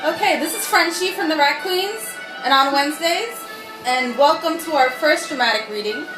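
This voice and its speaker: A woman's voice: sits high, normal speed, music in the background. A tipsy woman giving an irreverent, giggly dramatic reading, hosting for a group and breaking off for asides. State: tipsy from wine. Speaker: reader and host of a comic group reading. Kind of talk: dramatic reading of erotic fiction with joking asides. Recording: roomy, distant mic, faint background noise.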